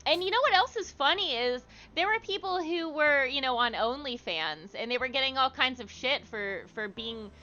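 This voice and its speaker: A woman's voice: sits high, lively intonation, normal speed, hardly any pauses, sharp tone, highs cut off. A woman hosting a livestream, chatting casually and encouragingly with viewers and reading their comments aloud. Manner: encouraging, supportive. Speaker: livestream host.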